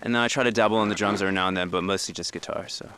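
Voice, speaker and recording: Shy young male guitarist, low gravelly voice, faint static. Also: fairly even intonation, rapid-fire, sharp tone. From Tampa, Florida. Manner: shy, hesitant, with many fillers. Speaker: guitarist in a band. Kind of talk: casual interview chat.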